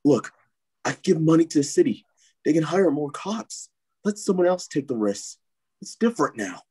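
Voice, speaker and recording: A man playing a brooding, anguished young vigilante hero in an animated film table read, speaking earnestly and pleadingly. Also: on the high side, moderate pace, husky.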